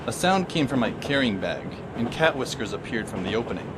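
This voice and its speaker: A man narrating an audio drama as a wry, deadpan teenage boy. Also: medium pitch, brisk, heavy background noise, lightly acted.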